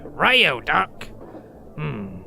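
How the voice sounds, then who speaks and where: A man's voice: a little high, wildly swooping pitch, extremely slow, gravelly, sharp tone, a little hiss or hum, full character voice. A man playing an irritable, boastful, foul-mouthed rogue who peddles potions in a comedy fantasy audio drama. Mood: boastful, rambling. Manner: cocky, grumbling, rambling.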